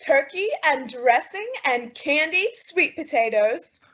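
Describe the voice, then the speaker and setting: A high-pitched, unhurried radio sitcom wife, devoted and bravely holding back her worry. The sound is dull, like a vintage broadcast. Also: animated, a bit bright, full character voice. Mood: strained sweetness. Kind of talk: 1950s radio sitcom acting.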